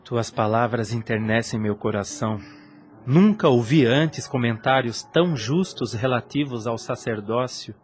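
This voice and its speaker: An actor playing a lovesick young priest in a solemn audio drama, ardent and tormented, with lofty, formal phrasing. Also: male voice, medium pitch, unhurried, natural voice. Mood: earnest, troubled. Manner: lofty, formal, earnest delivery. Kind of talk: solemn audio drama acting of a religious novel.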